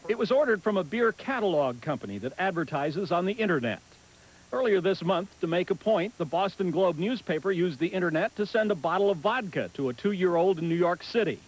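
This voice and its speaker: A man's voice: sits high, animated, fairly quick, low-quality recording. A man with an American accent narrating a scripted television news report as a correspondent.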